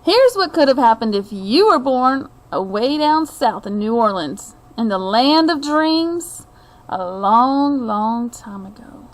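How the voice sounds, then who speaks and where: A middle-aged woman reading a children's picture book aloud, with expressive storytelling, deliberate phrasing and asides to her listeners. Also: fairly high pitch. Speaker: read-aloud host.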